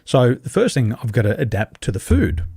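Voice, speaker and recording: An Australian man talks in a slightly husky voice, close and dry, as a podcast guest on a video call. He tells stories with gusto, jumping into higher voices for quoted dialogue and hammering points home with repetition. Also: wildly swooping pitch, a little fast, darkish tone, no room sound. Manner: acts out dialogue with big pitch jumps, emphatic repetitions. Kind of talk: animated conversational storytelling.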